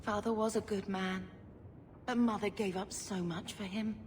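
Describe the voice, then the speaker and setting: An actress playing an earnest, wistful young mage in a video game, speaking sincerely and reflectively. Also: female voice, medium pitch, fairly even intonation, normal speed, a little hiss or hum, lightly acted.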